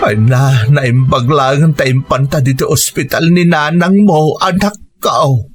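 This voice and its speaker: A man's voice: mid-range voice, measured pace, over music, lightly acted. A man with a Filipino accent playing a troubled older father, weary and fatherly, in a radio drama style. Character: troubled, fatherly older husband, anxious about his wife. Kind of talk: Ilocano radio drama acting.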